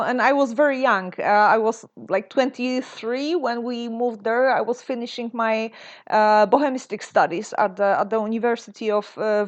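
A Polish woman in her thirties, a guest speaking in an interview, often pausing on fillers and restarting her sentences. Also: a little high. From Poland. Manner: hesitant, many fillers and restarts. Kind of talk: interview conversation. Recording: dull lo-fi sound.

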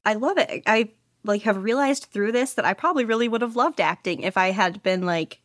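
A woman's voice: mid-range voice, fairly quick, a bit bright, no echo, studio quality. A woman guest on a casual podcast interview, talking eagerly and laughing often. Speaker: podcast guest, audiobook reader.

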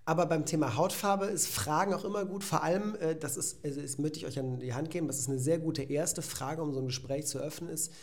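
A man from Belgium with a somewhat high voice, co-hosting a relaxed podcast. He talks with ironic humor and climbs into loud rants. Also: a little fast, vocal fry, few pauses. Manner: animated, ironic, gets loud when ranting. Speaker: podcast co-host. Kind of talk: casual chat. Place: echoey room. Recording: roomy echo.

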